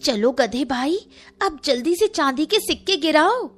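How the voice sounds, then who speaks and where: A woman's voice: high-pitched, expressive, measured pace, faint music behind. A woman playing a greedy, scheming village woman in a cartoon, with an exaggerated, whiny manner. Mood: eager, greedy. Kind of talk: Hindi cartoon voice acting.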